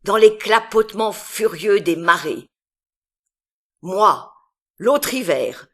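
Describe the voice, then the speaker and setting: A woman giving a dramatic, expressive recitation of literary poetry, with deliberate phrasing and long pauses between phrases. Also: mid-range voice. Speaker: poetry reader.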